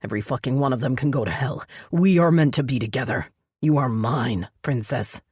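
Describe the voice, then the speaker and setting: A woman voicing a possessive, hot-tempered male vampire lover in a romance audiobook, growling and forceful in an insistent way. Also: brisk, slightly rough, dry recording, clean studio sound, heavily acted. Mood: angry, incredulous. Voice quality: low, growling. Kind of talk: dramatic romance audiobook dialogue.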